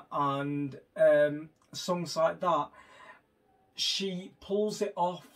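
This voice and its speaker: High-voiced man chatting, off the mic. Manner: chatty, informal. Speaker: reaction channel host. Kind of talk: casual music video reaction commentary. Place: small room at home, indoors. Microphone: camera a little way off. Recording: distant camera microphone.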